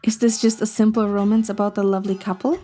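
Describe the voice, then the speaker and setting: A woman playing a sweet, affectionate young heroine, soft and earnest, in a scripted romantic audio drama. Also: medium pitch, flat delivery, fast, natural voice. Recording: music behind the voice.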